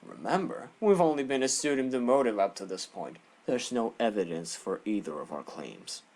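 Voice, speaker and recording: A man's voice: fairly low pitch, big pitch swings, normal speed, darkish tone. A man acting a haughty, condescending bird prosecutor in a courtroom audio drama. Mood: forceful, confident. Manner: pompous, condescending, theatrical.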